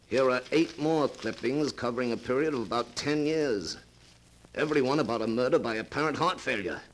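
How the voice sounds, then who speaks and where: An actor playing a frantic male murderer on the run, in a 1940s radio drama style, his voice gravelly and husky. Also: mid-range voice, a little fast, slight background noise, lightly acted, low-quality recording. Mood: grim. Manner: frantic, rising to a shaky whisper. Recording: old radio broadcast.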